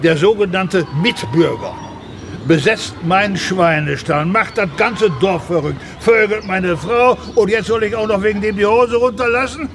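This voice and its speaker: A hot-tempered middle-aged pig farmer in a radio play, gruff and crude, with a high, gravelly voice. He rails with indignation over a noisy farmyard backdrop. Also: normal speed. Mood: indignant. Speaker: pig farmer suspected of a crime.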